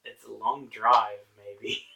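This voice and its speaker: A man's voice: fairly low pitch, fairly even intonation, takes it slowly, creaky, pushed and bright. A man acting as co-host, chiming in with brief, casual asides during a movie review.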